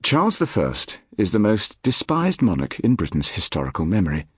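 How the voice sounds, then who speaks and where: A man reading a nonfiction audiobook in a measured, articulate, formal manner. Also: lowish voice, huge pitch swings, breathy edge, no room sound, clean studio sound. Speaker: audiobook narrator. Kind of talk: scripted nonfiction audiobook narration. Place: dry recording studio.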